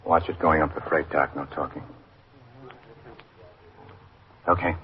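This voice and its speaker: An actor playing a laconic, understated police detective lieutenant in a classic radio drama. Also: male voice, extremely low pitch, fairly even intonation, quick pace. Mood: tense, hushed command. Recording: muffled, hissy old radio recording.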